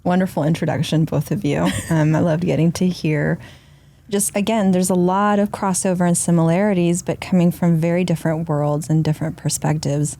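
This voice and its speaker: Gravelly low-voiced woman guest. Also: flat delivery, a little fast, dark tone. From Argentina. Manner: reflective, long flowing sentences. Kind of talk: casual podcast conversation.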